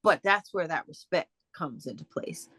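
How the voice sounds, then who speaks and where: Low-voiced woman answering questions. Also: animated, fairly bright tone, slightly rough, dry recording, clean studio sound. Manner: halting, many fillers and restarts. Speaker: guest speaker. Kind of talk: casual Q&A answers.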